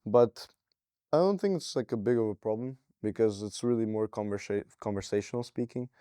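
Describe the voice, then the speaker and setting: A man's voice: on the low side, fairly quick, smooth, no room sound, studio quality. A teenage boy from Latvia, a native Latvian speaker, chatting casually as a student guest with lots of "like" and "kinda". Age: teens. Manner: relaxed, filler "like" and "kinda". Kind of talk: casual interview chat. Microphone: lapel mic.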